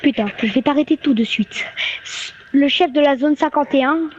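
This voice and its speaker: High-voiced woman rambling through a story. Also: normal speed, muffled recording, background noise. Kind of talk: in-character game roleplay.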